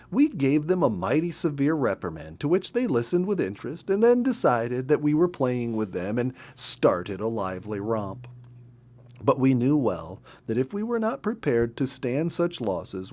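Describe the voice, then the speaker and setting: A man reads a story aloud in an expressive, measured, emphatic way. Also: medium pitch. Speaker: storybook reader. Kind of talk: read-aloud storytelling from a nature memoir.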